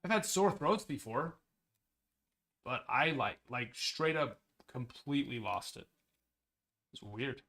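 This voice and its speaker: A man hosting a sports card break livestream, chatting casually with viewers in a slightly hoarse voice. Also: sing-song, slow, long pauses, sharp tone. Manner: chatty, rambling, upbeat sales pitches.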